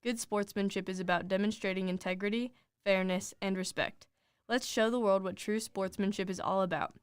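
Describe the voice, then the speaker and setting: A woman's voice: medium pitch, fairly even intonation, moderate pace, clean tone, no room sound, clean studio sound. A woman reading a scripted public service announcement.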